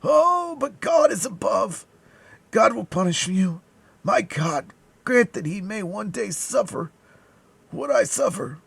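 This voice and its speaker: Man acting a high, strained dying soldier in agony. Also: sing-song, creaky, fairly bright tone, breathy edge, dry recording, full character voice. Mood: agonized cursing. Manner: drawn-out, anguished outbursts. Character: dying poisoned soldier, agonized, bitterly accusing, cursing.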